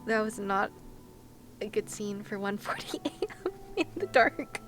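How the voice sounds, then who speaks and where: Bright-voiced young woman streamer, unhurried. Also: medium pitch. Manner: giggly, expressive, reactive. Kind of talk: casual game livestream chat. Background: faint game music.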